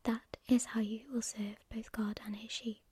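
A woman voicing a calm, commanding male angel messenger in a breathy whisper, with a measured, preaching delivery. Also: on the high side, dry recording. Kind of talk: whispered storytelling.

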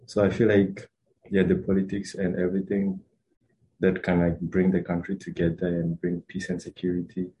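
A man's voice: sits low, monotone, normal speed, warm tone, a little hiss or hum, poor audio. A young man from Rwanda, a student answering interview questions in a hesitant, casual way with many fillers and restarts. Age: young adult.

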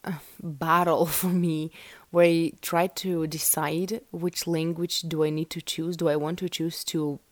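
A woman's voice: deep voice, little pitch movement, a little slow, dry recording. A woman, her language, hosting a casual solo podcast in a chatty, rambling way full of fillers. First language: Russian. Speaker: podcast host.

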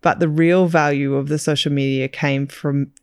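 A woman co-hosting a podcast, answering in an animated, thoughtful way. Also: low voice, normal speed, clear, resonant tone, no room sound, studio quality. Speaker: podcast co-host, business owner. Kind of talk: casual podcast chat.

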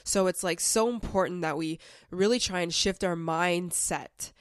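A woman's voice: on the low side, unhurried, clean tone, no echo, clean studio sound. A young woman hosting a casual self-help podcast, talking in a chatty, conversational way with lots of filler words while a little sick, her voice slightly off. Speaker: podcast host.

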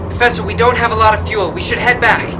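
A man's voice: high voice, steady tone, quick pace, heavy background noise, lightly acted. An actor playing a young male pilot who talks in a tense, uneasy way in a radio drama. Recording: music bed behind the voice.

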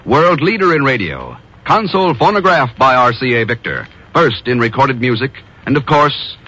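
A man's voice: mid-range voice, sharp tone, slightly rough. An American man announcing and reading ads on 1950s radio with polished, salesmanlike delivery. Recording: dull 1950s radio broadcast. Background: music.

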